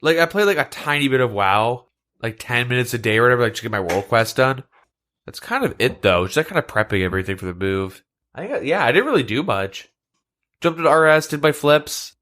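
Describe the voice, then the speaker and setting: A man's voice: mid-range voice, animated, brisk, a bit bright. A man co-hosting a podcast, talking in a laid-back way with a stuffed-up, nasal voice from a cold. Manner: laid-back, lots of fillers like "like". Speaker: podcast co-host. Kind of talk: casual chat. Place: shared room, two mics.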